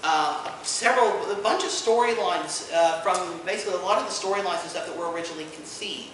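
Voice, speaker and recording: A man giving an informal panel presentation to an audience, an enthusiastic explainer who speaks haltingly with many asides. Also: high voice, a bit bright. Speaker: convention panelist presenting audio drama releases. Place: indoor convention panel room. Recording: echoey room from far off, noisy.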